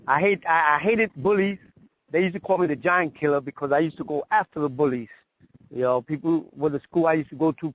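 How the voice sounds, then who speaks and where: Male guest, 60s, New York accent, slightly high voice, halting casual reminiscence with repeated phrases, muffled lo-fi audio. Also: dry recording. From New York, United States. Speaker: interview guest, veteran martial artist.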